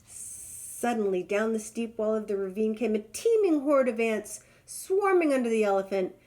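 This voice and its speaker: Lady reading a picture book out loud, expressive, higher voice. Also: normal speed. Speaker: storybook reader. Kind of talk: expressive children's story read-aloud.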